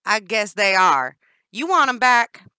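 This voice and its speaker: A woman voicing a wiry, volatile female kidnapper with an explosive temper and a Southern twang, loud and brash. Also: on the low side, sing-song, moderate pace, no room sound, clean studio sound. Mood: taunting. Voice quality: brash and shouting.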